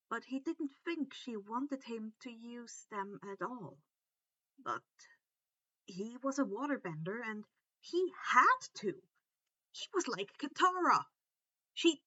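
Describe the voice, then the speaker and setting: Female story narrator, highish and lively pitch, slightly muffled dry home-style recording. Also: no echo. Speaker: fan fiction narrator. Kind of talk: animated storybook-style read-aloud narration.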